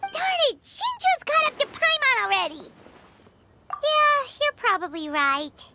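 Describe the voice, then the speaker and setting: An extremely high, squeaky little fairy companion from an anime game. She is boastful and excitable, swinging widely in pitch and stretching her words out slowly. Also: slight background noise, full character voice. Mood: indignant. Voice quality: squeaky, childlike. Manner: emphatic, third-person speech. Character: tiny childlike fairy companion, excitable, boastful, talks in third person.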